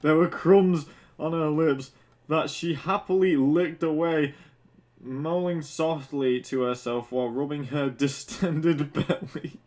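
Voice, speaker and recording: A man's voice: a little high, fairly even intonation, slow pace, darkish tone. A man who sounds tipsy, reading fan fiction aloud casually and comedically, stumbling over words and breaking off to mock the text with sweary asides. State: drunk. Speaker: fan fiction reader and commentator.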